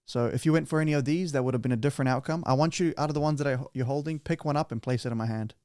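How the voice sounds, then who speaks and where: A man's voice: mid-range voice, racing, a little mellow, no room sound, studio quality. A man hosting a podcast, chatting casually and playfully with his guest, often restarting his sentences. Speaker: podcast host.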